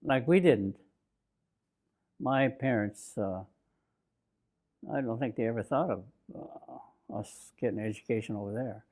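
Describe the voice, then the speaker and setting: A man in his nineties from Bainbridge Island, Washington, telling his own memories in an oral history interview, slow and reflective, halting with fillers and restarts. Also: mid-range voice, a little mellow. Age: 90s. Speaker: interviewee, WWII-era Japanese American. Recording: interview recording.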